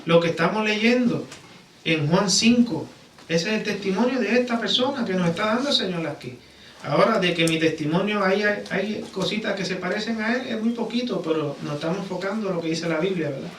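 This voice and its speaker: A man's voice: high-pitched, brisk, a bit bright. A man with a Puerto Rican accent preaching conversationally in a church, often checking for agreement with rhetorical questions. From Puerto Rico. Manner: repeatedly seeks agreement with rhetorical tag questions. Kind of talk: conversational sermon. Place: church sanctuary. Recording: roomy, distant mic, background noise.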